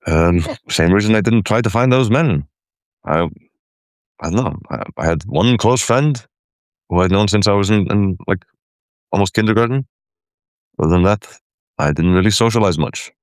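A man from Iceland talks about his past as a guest in a conversation, stumbling and repeating words as he restarts his thoughts. His voice is very deep, and the recording is close and dry. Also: expressive, moderate pace, long pauses, clear, resonant tone, no echo. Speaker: caller, guest. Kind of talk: casual personal conversation.

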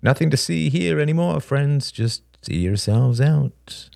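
Man voicing a chummy dad, pitched up. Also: animated, a little slow, vocal fry, warm tone, dry recording, studio quality, exaggerated voice. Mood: jovial. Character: chummy, easygoing father and younger brother.

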